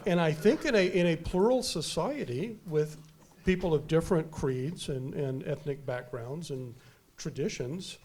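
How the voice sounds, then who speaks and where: Loud, emphatic man giving a keynote. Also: fairly high pitch, measured pace. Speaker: keynote speaker. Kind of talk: unscripted conference talk. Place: conference hall.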